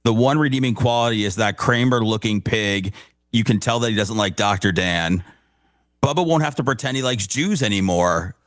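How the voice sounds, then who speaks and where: A man's voice: on the low side, normal speed, a bit bright, no room sound, studio quality. A man who is a regular on a radio show, talking in a casual, earnest way. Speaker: radio show cast member.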